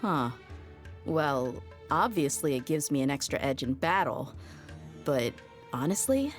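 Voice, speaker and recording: A young female mercenary of the desert for a video game, in a low voice. She is confident and plain-spoken, loyal and wry, with a casual swagger. Faint music plays underneath. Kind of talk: scripted game character voice lines.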